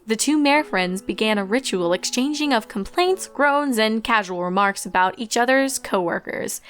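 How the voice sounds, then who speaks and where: Woman reading a story out loud, expressive narrator, highish voice, music under it. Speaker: fan fiction narrator.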